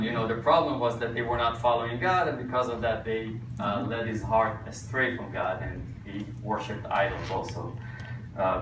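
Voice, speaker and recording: Israeli-accented man lecturing in an echoey hall. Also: lowish voice. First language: Hebrew. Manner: halting, many uh fillers and restarts, self-deprecating humor. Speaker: tour guide teaching a church group. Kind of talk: lecture with slides and jokes. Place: church hall with audience. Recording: echoey room, voice from a distance, noisy. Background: audience laughter, room ambience.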